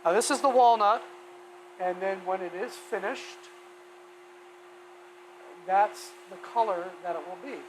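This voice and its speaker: Slow, rambling workshop streamer of unclear sex. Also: mid-range voice. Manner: rambling, folksy, thinking aloud. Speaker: live stream host, hobby woodworker. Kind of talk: casual live stream chat while working. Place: home woodworking workshop. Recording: steady vacuum noise behind voice. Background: shop vacuum running.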